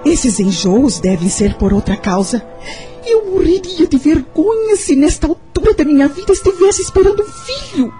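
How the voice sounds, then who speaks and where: A woman's voice: high-pitched, big pitch swings, normal speed, mellow, soft background music. A woman acting in a radio soap opera as a hard-working, anxious mother, speaking with hesitant emotion.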